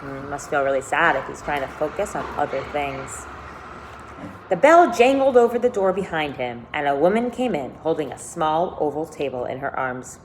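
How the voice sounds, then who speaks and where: A woman teacher reading a children's novel aloud to her class, speaking deliberately and pausing to think aloud with questions. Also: low voice, lively intonation, slight background noise. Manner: deliberate, questioning, stressing key words for young listeners.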